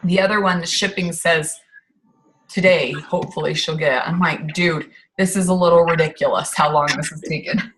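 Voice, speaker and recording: Bright tense-voiced woman, early 30s, says dude a lot, faint noise behind. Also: deep voice, steady tone, normal speed, low-quality recording. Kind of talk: casual group video call chat.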